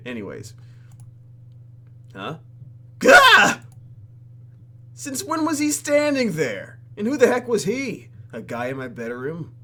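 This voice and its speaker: A man's voice: high-pitched, wildly swooping pitch, slow pace, pauses often, pushed and bright, slight background noise. A man reading visual novel text aloud for a let's play and reacting to it in a theatrical, exaggerated way. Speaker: YouTube gamer.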